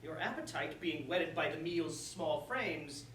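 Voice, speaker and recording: A woman's voice: deep voice, lively intonation, a bit bright. Woman playing a mocking, courtly villain lord, swooping and distant. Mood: mockingly sweet. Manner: theatrical, taunting, drawn-out verse delivery. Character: menacing male soldier lord, mocking, oily and courtly. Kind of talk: staged reading of verse comedy. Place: roomy indoor space, far from the mic. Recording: distant echoey room with faint noise.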